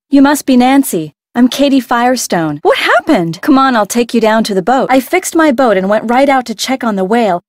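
An actress playing a friendly young woman who owns a boat in a mystery game, recording chatty lines and alternate takes. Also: high-pitched. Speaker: game character.

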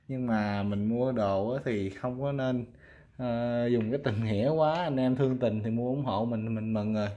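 A man's voice: medium pitch, mellow, highs cut off. A man with a Southern Vietnamese accent casually showing goods for sale, talking informally to viewers as friends. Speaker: fishing rod seller presenting products. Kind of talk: casual product showcase.

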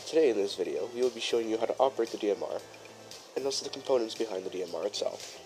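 A man playing a courteous chief engineer who presents a training film in formal, measured, instructional narration. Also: on the low side, close to an everyday voice, poor audio. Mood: welcoming. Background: music behind the voice.

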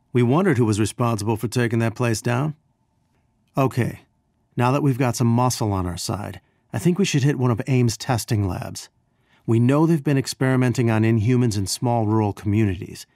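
Actor for male scientist rebel leader in a game: earnest, grave, measured, mid-pitched, cleanly dry recording. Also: darkish tone, dry recording, natural voice. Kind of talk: video game voice acting.

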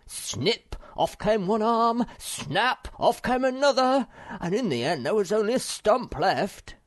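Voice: mid-range voice, big pitch swings, measured pace, a little mellow, voice pushed up. A reader voicing Moomin Troll, an eager young troll who boasts and chatters. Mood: boastful.

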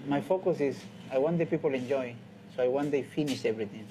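A man in his thirties from Peru with a Peruvian Spanish accent, a chef speaking halting English in an informal interview. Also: mid-range voice, background noise. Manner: halting non-native English with repeated phrases. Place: indoors in a restaurant. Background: music.

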